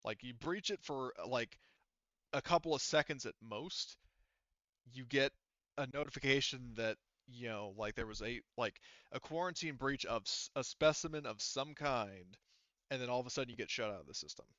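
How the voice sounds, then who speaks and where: A man running a tabletop game as game master, narrating casually and haltingly, often circling back to rephrase. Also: medium pitch, a bit bright, no room sound, highs cut off.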